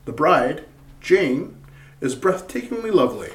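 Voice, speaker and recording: A man announcing and narrating the opening of a 1940s-style radio play in a measured, formal way. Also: fairly high pitch, very animated, fairly bright tone. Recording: echoey room, distant mic.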